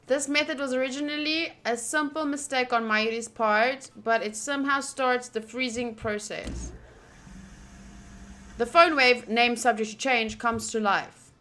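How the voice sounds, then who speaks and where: Female visual novel streamer, bright and higher-pitched, excitable, casual, thinking aloud as she plays and reads. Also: a little slow, soft background music. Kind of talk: casual playthrough commentary mixed with reading game text aloud.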